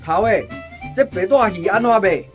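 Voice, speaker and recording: A man's voice: sits high, animated, normal speed, faint music behind. A man acting in a Taiwanese radio drama, playing a brash, wily army food buyer with a Taiwanese accent and a cocky, lecturing manner. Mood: brisk.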